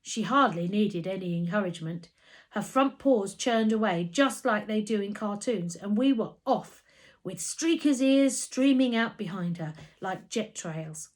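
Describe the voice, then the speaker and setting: A woman reading a children's story aloud as an expressive narrator. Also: mid-range voice, unhurried.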